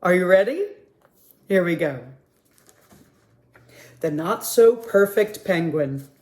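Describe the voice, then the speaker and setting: A man reading a picture book aloud at a children's story time, with a gentle, expressive manner. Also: sits high, slow.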